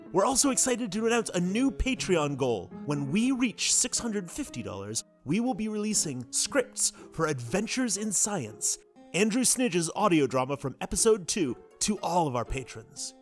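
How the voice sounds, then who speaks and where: A man reading a scripted podcast announcement as the show's producer. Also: high-pitched. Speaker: executive producer. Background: faint music.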